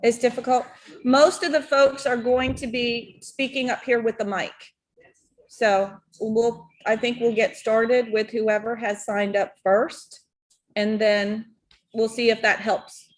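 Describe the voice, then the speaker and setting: A woman, the mayor, chairing a public hearing in a plain-spoken, procedural way with filler pauses. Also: fairly high pitch, little pitch movement, low-quality recording. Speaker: mayor chairing the board meeting. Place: town meeting room.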